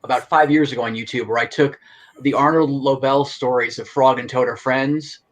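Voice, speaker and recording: A middle-aged man speaks as an interview guest in a medium-pitched voice. He explains things casually and with enthusiasm. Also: steady tone, moderate pace. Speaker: guest, actor and writer.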